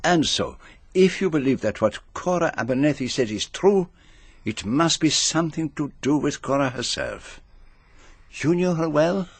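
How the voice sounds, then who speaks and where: An actor playing a courteous, shrewd Belgian detective with a French-speaking accent, questioning formally and deliberately in a radio mystery drama. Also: male voice, a little high, expressive, husky, dry recording. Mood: probing, courteous.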